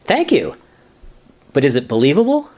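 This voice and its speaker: Actor as excitable, anxious young junior lawyer. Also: male voice, fairly high pitch, normal speed. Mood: anxious, hopeful. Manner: questioning, excitable, unsure. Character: anxious, eager young male junior lawyer seeking approval. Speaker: actor and announcer in a radio play. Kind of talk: comedic radio play acting.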